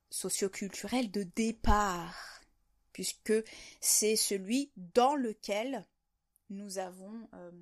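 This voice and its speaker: A woman talking to camera in an unscripted monologue, reflecting on her ideas and rephrasing them as she goes. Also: on the high side. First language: French. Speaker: video host sharing language-learning reflections.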